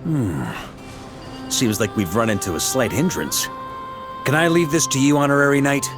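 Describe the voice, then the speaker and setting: A man's voice: medium pitch, lively intonation, unhurried, music in the background, lightly acted. A man playing an easygoing, confident middle-aged knight commander in a video game. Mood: casual. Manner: breezy, commanding.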